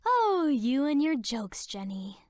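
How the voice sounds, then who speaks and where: A woman's voice: sits high, very animated, slow pace, over loud music, full character voice. A woman voicing a serene new age teenage girl in a cartoon, airy, flowery and affected in manner. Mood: airy, pleading.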